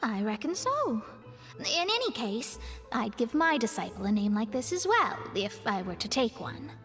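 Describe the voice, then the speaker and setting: A proud, wry young astrologist, composed and a touch haughty as she explains. Her voice sits high and lively, with a long reverb tail, and soft game music behind. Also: moderate pace. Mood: explaining. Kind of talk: studio game dialogue.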